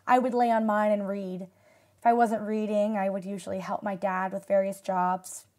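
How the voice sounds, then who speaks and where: An actress playing a wistful disaster survivor in a radio drama, recalling the past in a measured, reflective way. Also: female voice, mid-range voice, flat delivery, darkish tone, close to an everyday voice. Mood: matter-of-fact. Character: female asteroid-disaster survivor recalling shelter life, wistful. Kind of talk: radio drama acting as interview testimony.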